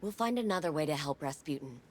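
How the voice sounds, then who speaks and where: A woman's voice: deep voice, lively intonation, quick pace, a little hiss or hum. An actress playing a cocky, sardonic young woman scientist in a video game, dry and teasing. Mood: wry, determined. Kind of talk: naturalistic video game acting.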